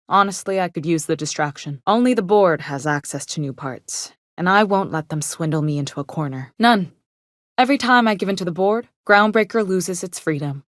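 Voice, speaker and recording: A woman's voice: fairly low pitch, no echo, clean studio sound, lightly acted. An actress playing a pragmatic, protective woman starship captain and engineer in a video game, speaking in a frank, plain-spoken, wry way. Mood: frustrated, defiant.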